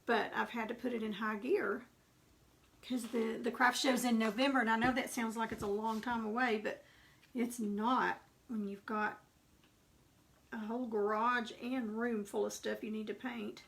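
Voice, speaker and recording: Chatty Texan woman with a gravelly voice. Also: a little high, fairly even intonation, moderate pace, fairly bright tone. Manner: chatty, rambling, homey asides while painting. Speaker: craft painting instructor. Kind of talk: casual live painting tutorial. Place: home craft workspace.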